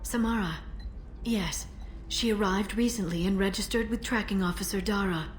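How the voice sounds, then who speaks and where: A woman's voice: mid-range voice, moderate pace, slight background noise, natural voice. A woman playing a helpful female alien information broker in a video game, polite and composed. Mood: pleasant, informative. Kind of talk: video game character acting.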